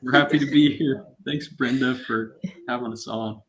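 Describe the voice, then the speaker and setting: A man's voice: medium pitch, fairly even intonation, moderate pace, slight background noise, poor audio. A man who is a guest on a casual interview over a video call. He answers in an easygoing way with short replies. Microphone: computer mic on a video call.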